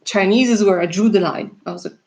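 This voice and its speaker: A woman's voice: mid-range voice, fast, dark tone. A Russian-born woman, a native Russian speaker, who works as a tarot reader and chats with viewers on a live stream. She laughs often and calls them darling.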